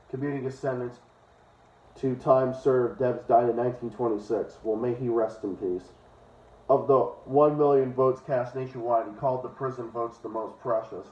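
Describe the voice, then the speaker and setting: A man's voice: medium pitch, a little mellow. Echoey, distant-sounding man reading aloud. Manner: opinionated, profane asides. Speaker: online reader and commentator. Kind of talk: reading a book aloud with ranting commentary. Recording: echoey room, distant dull microphone.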